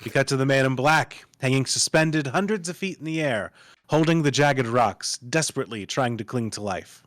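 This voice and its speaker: Casting: male table-read narrator, medium pitch, delivering screen directions dramatically, close dry sound. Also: moderate pace, fairly bright tone, no echo. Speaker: narrator reading stage directions.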